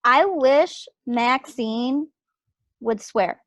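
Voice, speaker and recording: A woman's voice: high voice, very slow, a bit bright, no echo. A woman chatting casually and giving her opinion over a slightly dull video call. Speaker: participant giving script feedback. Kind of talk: casual chat. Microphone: video call microphone.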